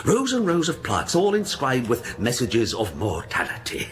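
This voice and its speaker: Very animated, breezy friend. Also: male voice, medium pitch, moderate pace, a little hiss or hum. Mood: breezy, amused. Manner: exuberant, teasing. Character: jovial male actor friend, curious and breezy.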